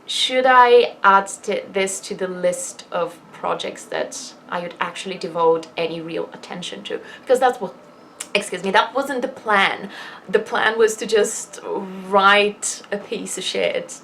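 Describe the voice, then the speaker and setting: A woman's voice: medium pitch, fairly bright tone. A woman vlogger talks in a mid-range voice with some ambient noise around her. She is casual and candid, poking fun at herself as she rambles and drops in emphatic asides. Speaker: vlogger and fiction writer. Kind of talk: casual personal vlog chat.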